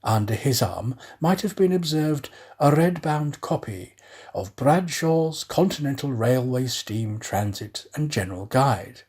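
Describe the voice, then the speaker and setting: Slow, expressive male storyteller, slightly husky. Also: mid-range voice. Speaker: storyteller, professional actor. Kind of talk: expressive scripted storybook narration.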